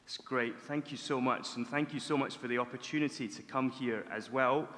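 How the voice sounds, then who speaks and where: A man's voice: medium pitch, fairly even intonation, moderate pace, sharp tone. Scottish man speaking in an echoey hall. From Scotland. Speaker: charity director and guest speaker. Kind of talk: prepared talk to a church audience. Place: church event, speaking to an audience. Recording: echoey hall, long reverb.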